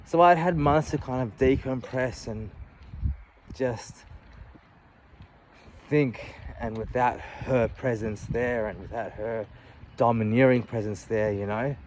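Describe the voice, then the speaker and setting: A man in his late thirties talking candidly about his life in a reflective, halting way, often restarting and adding "you know". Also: medium pitch, darkish tone, a little hiss or hum, poor audio. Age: 30s. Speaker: vlogger. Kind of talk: personal reflective monologue.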